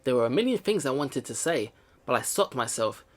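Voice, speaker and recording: A man reading a visual novel aloud as a let's play host, with expressive dramatic narration and his own commentary. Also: mid-range voice, brisk. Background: game music.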